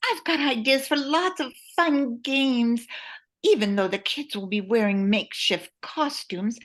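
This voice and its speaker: An older woman playing a hopeful, enthusiastic townswoman in an amateur radio play, earnest and deliberate. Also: a little high, fairly bright tone, full character voice. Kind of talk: amateur old-time radio play acting.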